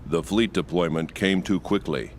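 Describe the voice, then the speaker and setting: An actor playing a grave, analytical man in a sci-fi video game, speaking in a measured, brooding manner. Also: sits low, slight background noise, natural voice. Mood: grave, suspicious.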